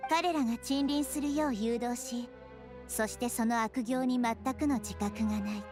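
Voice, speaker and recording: Casting: actress as wise child-like goddess for anime game, very high voice, brisk measured rebukes, loud music underneath. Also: female voice, fairly even intonation, close to an everyday voice. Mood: solemn, reproachful. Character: small young female deity, calm, solemn, wise, judging.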